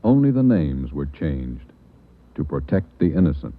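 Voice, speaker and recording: Brief: solemn male radio announcer, very deep voice, tinny old broadcast with music beneath. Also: very animated. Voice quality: grave, measured delivery. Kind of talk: formal 1940s radio announcing.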